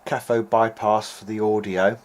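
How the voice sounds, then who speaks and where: A man's voice: fairly low pitch, normal speed. A man giving informal workbench commentary as a hobbyist restorer, thinking aloud in a hesitant way. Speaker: hobbyist radio restorer, presenter. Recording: clear steady background noise.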